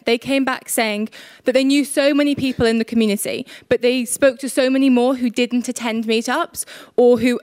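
A young woman presenting a prepared conference talk on stage, steady and polished. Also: a little high, no echo, studio quality. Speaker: conference speaker.

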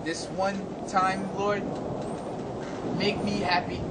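A young man with a high voice talks slowly through a teasing mock prayer, breaking into laughs. He stands outdoors on a backyard driveway at dusk, caught by a phone camera a few steps away. Loud wind noise rushes over the distant, thin sound. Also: little pitch movement. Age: young adult. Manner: playful, teasing, laughs between phrases.

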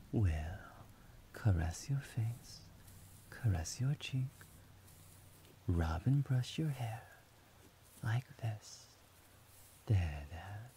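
A man playing a deep, whispering devoted servant. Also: slight background noise, exaggerated voice. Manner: soft whispering, affectionate and soothing. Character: doting young male servant, devoted and tender. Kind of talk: ASMR roleplay.

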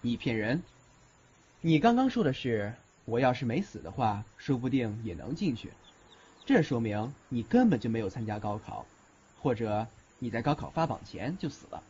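A man's voice: mid-range voice, big pitch swings, moderate pace, darkish tone, husky. A man acting an aloof, grumbling young university student in an audio drama, with a blunt, exasperated manner. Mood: accusing. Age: young adult. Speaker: lead actor in a Chinese audio drama. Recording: slightly dull recording with music behind.

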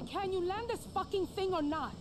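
A tough young gangster in a video game, curt and impatient. Her high voice moves widely in pitch, with clear noise behind it. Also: lively intonation, moderate pace. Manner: curt, commanding. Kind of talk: video game cutscene acting. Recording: game audio with background noise.

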